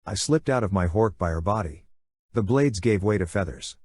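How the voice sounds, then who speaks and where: Husky, low-voiced male storyteller. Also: animated, moderate pace, a little mellow. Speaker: audiobook narrator. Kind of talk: scripted dramatic first-person narration.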